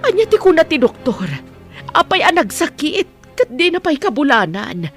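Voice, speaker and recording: An actress playing a worried, demanding mother and madam in a radio drama, firing sharp questions. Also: high-pitched, big pitch swings, normal speed, faint music behind.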